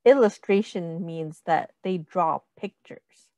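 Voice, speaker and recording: A woman teacher reading a picture book aloud to young children in a sing-song, deliberate, instructive way, with counting and questions. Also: fairly low pitch, darkish tone, no echo.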